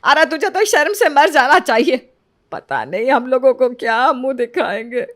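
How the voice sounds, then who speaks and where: A woman voicing an angry older mother-in-law who scolds loudly and harshly. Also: a little high, sing-song, moderate pace. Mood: scolding.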